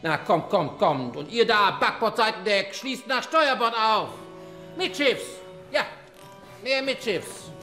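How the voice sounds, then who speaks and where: A man's voice: sits high, animated, slow pace, bright, forceful tone, soft background music, heavily acted. A man playing a venerable old seafaring preacher in a radio play, commanding and solemn, with declamatory pulpit delivery. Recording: roomy church-like echo.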